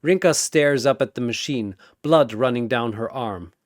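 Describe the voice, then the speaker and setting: A man's voice: medium pitch, moderate pace, no echo, clean studio sound. A man delivering dramatic scripted battle narration in a theatrical, punchy way.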